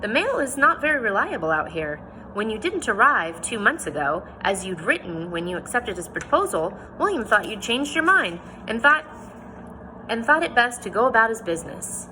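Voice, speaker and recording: A good-natured older frontier man who soothes and reassures, as a woman reads his part. The voice is bright, over a faint background hum. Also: a little high, sing-song, moderate pace, voice pushed up, poor audio. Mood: reassuring. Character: genial older frontier man, kindly and calm.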